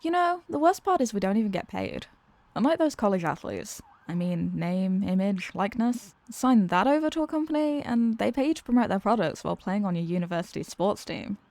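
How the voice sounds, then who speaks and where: A woman's voice: mid-range voice, very animated, brisk, darkish tone, no room sound. A woman playing an exasperated, sarcastic supervillain who is secretly weary of evil, in a theatrical comedic ASMR roleplay monologue. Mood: resigned griping.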